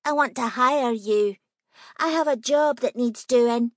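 A woman's voice: a little high, unhurried, dry recording, clean studio sound, pitched above the performer's own voice, lightly acted. A woman voicing a nervous but stubborn nine-year-old girl who talks in a bossy, matter-of-fact way. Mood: insistent.

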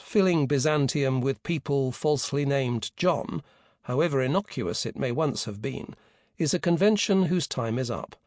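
A man giving a measured, precise scripted narration of a history book as an audiobook narrator, pronouncing foreign names with care. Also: medium pitch, a little mellow, no echo, clean studio sound.

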